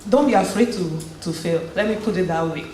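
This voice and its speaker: A woman's voice: fairly low pitch, brisk, a little mellow. A woman tells her own story to an audience in a chatty, storytelling way, often stumbling and restarting mid-phrase. Her voice rings around a very echoey hall. A faint hum of noise sits underneath. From Nigeria. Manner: conversational storytelling with frequent restarts. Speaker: guest speaker at a community event. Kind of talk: personal testimony and encouragement.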